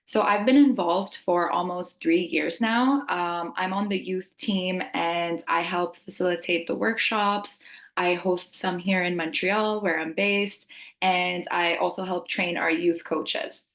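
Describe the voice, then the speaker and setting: A young woman from Lebanon co-hosts an online webinar from home, speaking through a laptop mic on a video call. Her voice sits a little low, and she welcomes people in a friendly, scripted way, with a few ums along the way. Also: fairly even intonation, measured pace, a bit bright. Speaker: webinar co-host.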